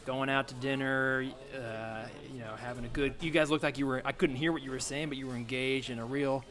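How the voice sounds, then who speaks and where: A man's voice: medium pitch, steady tone, a bit bright, close to an everyday voice. Man playing a folksy, kindly older dad. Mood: friendly, modest. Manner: halting, folksy. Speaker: improv comedian. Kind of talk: improvised comedy scene.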